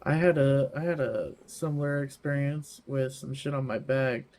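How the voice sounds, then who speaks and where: Average pitched guy just chatting on a podcast, swears casually. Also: moderate pace, a little mellow. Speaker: podcast co-host. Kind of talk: casual chat.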